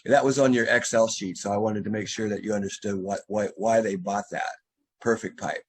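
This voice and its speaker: Husky man explaining drainage on a call. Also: on the low side, steady tone, brisk. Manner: instructive, restarts and fillers, explains step by step. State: losing his voice. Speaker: drainage contractor giving a video consultation. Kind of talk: remote consultation walkthrough. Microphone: video call.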